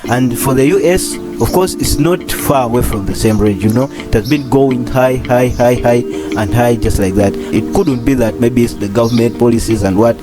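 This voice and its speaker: A husky young man in his twenties talks in a loose, rambling way and leans on the same phrases again and again. His pitch sits in an ordinary middle range. Music plays clearly underneath him. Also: normal speed, few pauses. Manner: rambling, repeats phrases, many fillers. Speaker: doctor in training, channel host. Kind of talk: casual vlog commentary.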